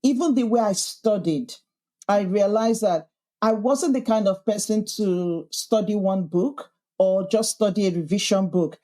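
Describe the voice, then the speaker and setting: A woman's voice: mid-range voice, a little slow, dark tone. A woman with a Yorkshire accent, the podcast host, answering interview questions in an earnest, thoughtful way and building her points as emphatic lists. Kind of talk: reflective conversational interview.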